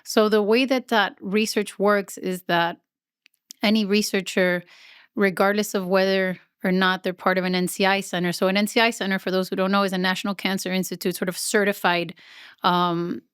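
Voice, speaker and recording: Chatty woman with a smooth, dry voice. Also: mid-range voice, little pitch movement, moderate pace, no room sound. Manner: chatty and self-deprecating, with frequent fillers. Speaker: nonprofit CEO, guest. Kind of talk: casual podcast interview.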